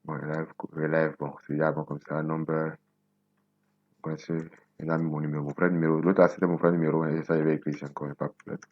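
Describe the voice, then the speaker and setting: A man in his twenties from Benin, whose first language is Nago, hosting a coding tutorial and thinking aloud slowly as he types, repeating words to himself. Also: very deep voice, level tone, dry recording, muffled recording. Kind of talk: casual screen-recorded coding tutorial.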